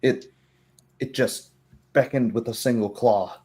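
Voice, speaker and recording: A man voicing a frightened castle guard in a tabletop roleplaying game, halting and stammering. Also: medium pitch, steady tone, mellow, slightly rough. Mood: dazed, fearful.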